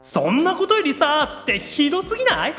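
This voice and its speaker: A man playing an anxious, excitable young otaku demon brother in an anime-style audio drama, theatrical, moving between awkward muttering and gushing squeals. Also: very high voice, brisk, soft background music, exaggerated voice. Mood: indignant, astonished.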